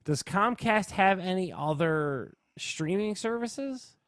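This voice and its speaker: A man's voice: sits high, animated, slow, a bit bright, dry recording, clean studio sound. A man co-hosting a podcast, chatting casually in informal, slangy language about the news. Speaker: podcast co-host. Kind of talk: casual chat about sports and streaming news.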